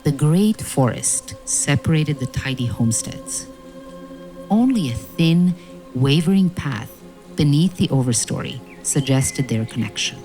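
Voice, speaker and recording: A woman narrating a fairy-tale audio play in a measured, solemn storytelling manner. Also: sits low, expressive, mellow, rough recording. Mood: gentle storytelling. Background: music.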